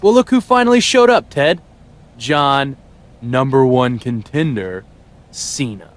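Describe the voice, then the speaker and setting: A man's voice: mid-range voice, animated, a little slow, natural voice. A man playing a cocky, sneering henchman wrestler in a scripted video game cutscene. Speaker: wrestler character.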